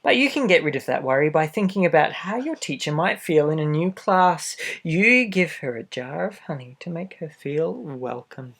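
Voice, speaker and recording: A man reads a picture book aloud to young children in a gentle, expressive way, adding little asides straight to the listener. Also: fairly high pitch, moderate pace, gravelly, hardly any pauses. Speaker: storybook reader for children.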